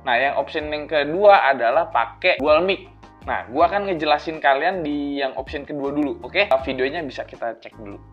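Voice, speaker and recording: A man's voice: on the high side, normal speed. A man explains things to viewers as a vlogger, in an informal, chatty, step-by-step way. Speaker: vlogger, tech reviewer. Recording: dull lo-fi sound under music.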